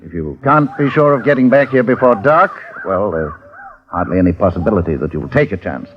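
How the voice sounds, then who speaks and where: An actor playing a cautious, officious colonial police constable in an old-time radio drama, formal and hedging. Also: male voice, lowish voice, expressive, fairly quick, slight background noise, lightly acted. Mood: cautious, advising. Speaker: supporting actor. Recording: muffled vintage radio.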